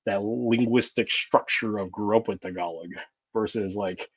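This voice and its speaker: A man's voice: on the low side, fairly even intonation, normal speed, husky, no echo. A man hosting a podcast in casual conversation, rambling and reflective, with many fillers and restarts. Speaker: podcast host. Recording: dull, dry lo-fi sound.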